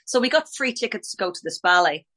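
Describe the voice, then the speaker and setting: A woman's voice: mid-range voice, lively intonation, fast, fairly bright tone. A middle-aged Irish woman, a ballet teacher, chatting in a podcast interview, talking freely, restarting sentences and laughing easily. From Ireland.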